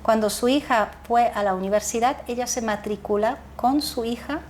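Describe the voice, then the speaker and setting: Animated woman telling family stories. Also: on the high side, normal speed, slight background noise. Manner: animated, laughs often, restarts words. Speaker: guest, association founder. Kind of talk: casual interview storytelling.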